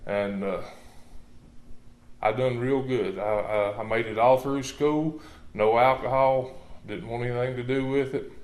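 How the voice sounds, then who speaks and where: A man's voice: medium pitch, steady tone, measured pace, darkish tone. A middle-aged man, from southwestern Virginia, giving a rambling personal testimony with dry humor in a church. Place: church sanctuary. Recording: echoey church room.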